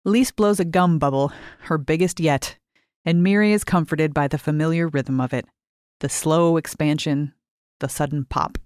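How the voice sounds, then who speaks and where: Lady reading a short story audiobook style, lowish voice, clear measured delivery, no room sound. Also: darkish tone, no room sound, clean studio sound. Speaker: short fiction narrator. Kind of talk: scripted audiobook-style narration.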